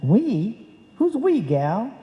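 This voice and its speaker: A woman's voice: on the high side, big pitch swings, extremely slow, exaggerated voice. Woman doing a drawling folksy cowboy, echoey hall. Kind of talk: live old-time radio comedy acting. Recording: dull echoey hall recording.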